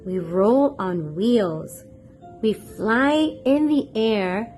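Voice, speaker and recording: Animated woman reading to children, unhurried. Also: on the high side, soft background music. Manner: clearly paced, expressive, addresses young listeners with questions. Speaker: children's read-aloud storyteller and channel host. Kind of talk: children's picture book read-aloud.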